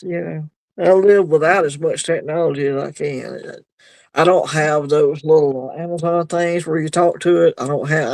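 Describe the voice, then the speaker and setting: A man hosting a casual livestream chat, rambling and easygoing, quick to laugh. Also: a little high, gravelly, no room sound. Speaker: livestream host.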